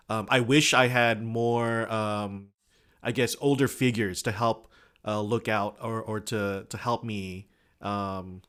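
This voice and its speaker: A man from Indonesia hosting a podcast, talking in a halting, heartfelt way. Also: lowish voice, a bit bright. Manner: halting, many fillers and restarts, heartfelt. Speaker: podcast host. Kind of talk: podcast conversation.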